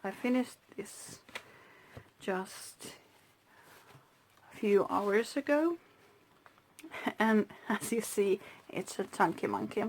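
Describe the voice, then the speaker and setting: Slow, hesitant Finnish-native woman. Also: mid-range voice, slight background noise. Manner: slow, hesitant, with pauses and soft whispered asides. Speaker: craft channel host. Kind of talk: casual crafting flip-through.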